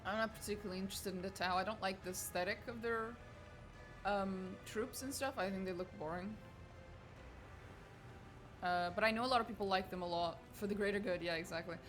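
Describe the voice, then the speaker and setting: A woman's voice: mid-range voice, a little fast, music in the background, low-quality recording. Chatty woman streaming a game. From Portugal. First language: Portuguese. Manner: chatty, swears casually, talks to chat. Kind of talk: casual gaming livestream chat.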